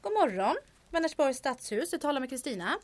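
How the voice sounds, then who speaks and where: An actress playing a brisk, dry-witted town hall clerk in a period audio drama. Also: female voice, sits high, very animated, noisy background. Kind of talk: period radio drama acting.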